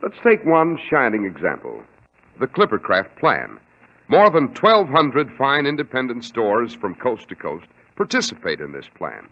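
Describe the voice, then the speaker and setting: A man with an American accent reading as a polished, emphatic 1940s radio announcer and sponsor pitchman. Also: wildly swooping pitch, a little slow. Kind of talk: 1940s radio advertising read. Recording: muffled vintage radio recording.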